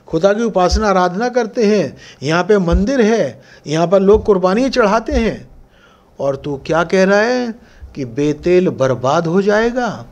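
A middle-aged male pastor preaching with a high, ringing voice. He is dramatic and expressive, acting out the figures of his story. He speaks close into a stand mic in a church studio. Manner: dramatic, gesturing broadly, voicing biblical figures. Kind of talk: sermon. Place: church studio with curtain backdrop, indoors. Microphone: stand mic close in front.

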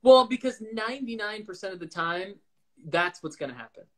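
A young man chatting in a relaxed, easygoing way on a livestream video call, speaking into a phone held at arm's length. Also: high voice, moderate pace. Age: young adult. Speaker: livestream co-host. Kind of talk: casual chat on a video call. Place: room with shelves indoors.

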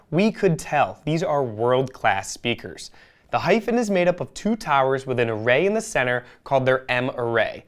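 A man in his thirties narrates a scripted product review as its presenter. His delivery is polished and emphatic, setting out each point clearly for the viewer. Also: fairly high pitch. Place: indoor studio, seated at a table.

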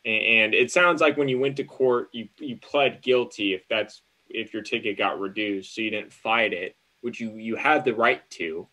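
A man's voice: lowish voice, sharp tone, low-quality recording. A man in his thirties with an American accent, a lawyer thinking aloud as he chats casually and gives hedged advice. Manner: halting, hedging, thinking aloud. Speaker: lawyer answering call-in questions. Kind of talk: casual legal advice chat on a livestream. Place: indoors in front of a green screen, on a video call.

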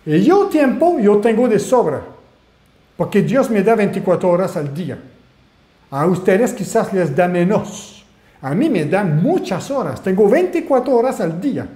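A man's voice: sits high, unhurried, reverberant. French-Canadian man hosting a webinar. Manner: animated, asks the audience questions. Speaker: online marketing mentor. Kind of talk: webinar presentation.

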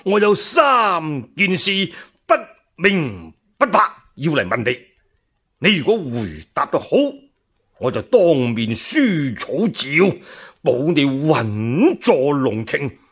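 A man's voice: on the high side, sing-song, slow pace, a bit bright, husky, full character voice. A man voicing an indignant, righteous old loyal minister in a radio storytelling drama, declaiming and stammering with outrage. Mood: accusing.

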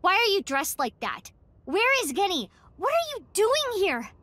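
A woman's voice: very high-pitched, big pitch swings, unhurried, fairly bright tone, no echo, full character voice. A woman playing a feisty, impatient teenage girl investigator in an anime-style video game, speaking in a blunt, exclamatory way. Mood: flustered, demanding. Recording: music behind the voice.

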